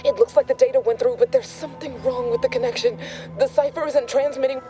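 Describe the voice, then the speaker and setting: An actress playing a rattled undercover operative reporting in, terse and urgent, in a video game. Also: female voice, sits high, steady tone, quick pace, warm tone, soft background music, close to an everyday voice. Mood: urgent, distressed. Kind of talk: dramatic video game dialogue.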